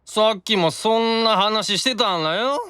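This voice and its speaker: Bright, tipsy, affectionate demon brother. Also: male voice, high-pitched, moderate pace, smooth, no room sound, clean studio sound. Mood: earnest, concerned. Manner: slurred, childlike drunken earnestness. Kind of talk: Japanese anime-style audio drama.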